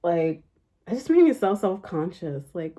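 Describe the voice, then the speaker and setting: Young woman beauty vlogger, deep and mellow, loose rambling delivery. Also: sing-song, unhurried, slight background noise. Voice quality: fairly deep speaking voice. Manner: rambling, chatty, heavy use of "like" fillers. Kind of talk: casual makeup tutorial chat.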